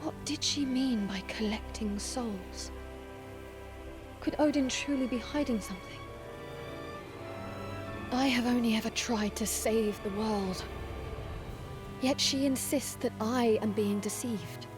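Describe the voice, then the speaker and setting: A woman playing a proud, stern Valkyrie warrior in a fantasy video game, speaking in formal, measured phrases. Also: a little high. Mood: troubled, doubting. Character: solemn, proud young female Valkyrie warrior, dutiful and stern. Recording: loud orchestral music behind voice.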